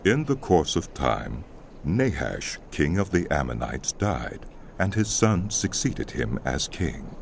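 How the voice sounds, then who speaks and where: A man narrating a dramatized scripture audiobook in a solemn, deliberate storytelling style. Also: rumbling low, sing-song, creaky, a little hiss or hum. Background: music.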